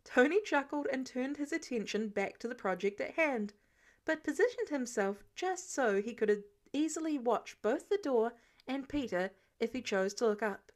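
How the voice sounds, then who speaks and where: A woman narrating fan fiction in an expressive storytelling style. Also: fairly high pitch, normal speed.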